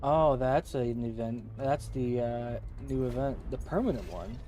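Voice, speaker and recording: A man's voice: mid-range voice, measured pace, mellow, a little hiss or hum. A man giving casual gameplay commentary as a streamer, half talking to himself and half chatting to viewers. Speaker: game streamer. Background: game music.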